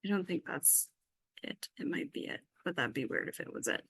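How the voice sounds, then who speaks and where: A woman's voice: fairly low pitch, lively intonation, fairly quick, fairly bright tone, husky. A woman giving an informal live coding demonstration as instructor, tentatively thinking aloud as she works. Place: indoor group workshop session.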